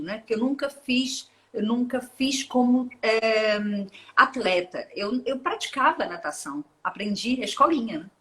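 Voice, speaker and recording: A woman in her forties speaks with a Minas Gerais Brazilian accent. She is a guest in a casual interview, and she talks in loose runs with restarts and frequent laughter. Her voice sits a little high. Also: fairly bright tone. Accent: Minas Gerais Brazilian Portuguese. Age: 40s. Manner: chatty, laughs often, rambling with restarts. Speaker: guest, open-water ultramarathon swimmer.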